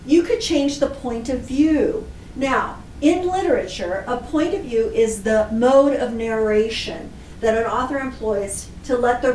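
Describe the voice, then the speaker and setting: A woman's voice: on the high side, a little mellow. A woman instructor giving an explanatory lesson for children, listing examples one word at a time. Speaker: library class instructor. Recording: echoey room, distant mic, faint noise.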